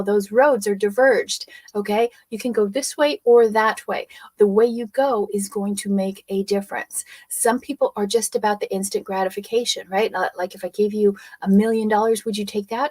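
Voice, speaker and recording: A woman from Europe whose native language is German, giving an energetic, persuasive motivational business talk and often checking in with "okay?". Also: medium pitch. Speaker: business coach.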